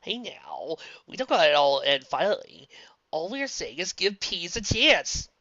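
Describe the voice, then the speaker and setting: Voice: mid-range voice, big pitch swings, a little slow, sharp tone, no room sound, voice pushed up, exaggerated voice. An amateur reader voicing a whiny, hyper young man who screeches, babbles and breaks into song. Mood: babbling. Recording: dull lo-fi dry recording.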